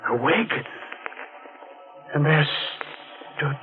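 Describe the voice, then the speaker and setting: An actor playing a shaken witness who tells a murder mystery in grave, suspenseful old radio style. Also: male voice, a little high, expressive, over music. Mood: suspenseful, frightened. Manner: grave, suspenseful, deliberate storytelling. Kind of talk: dramatic old radio narration. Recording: noisy, tinny vintage radio recording.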